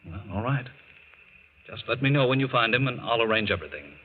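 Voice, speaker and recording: An actor in 1940s radio style playing a reserved, reluctant but obliging gentleman, measured in his speech. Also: mid-range voice, animated, music in the background, close to an everyday voice. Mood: reluctant, resigned. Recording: tinny, noisy old radio broadcast.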